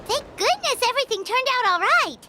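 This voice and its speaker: A woman voicing a small, curious, earnest fairy companion in a video game, with a squeaky, childlike voice. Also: very animated, fairly quick, noisy background. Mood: bright, eager. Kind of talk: scripted video game voice acting.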